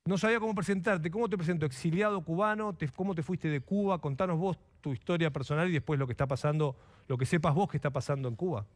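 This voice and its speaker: A male interview host with a Rioplatense accent and a fairly high voice. He addresses his guest directly, in a conversational broadcast interview style. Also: fast, few pauses. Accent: Rioplatense Spanish.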